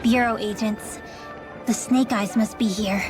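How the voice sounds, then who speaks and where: A woman's voice: mid-range voice, fairly even intonation, measured pace, lightly acted. A woman playing a feisty, resentful young village girl in a video game cutscene, with a pointed delivery. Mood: guarded. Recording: music behind the voice.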